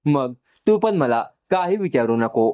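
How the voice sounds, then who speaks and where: A man's voice: mid-range voice, sing-song, unhurried, smooth, highs cut off. A man voicing a defiant, exasperated young woman with firm, curt retorts, in a narrated family story. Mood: defiant irritated.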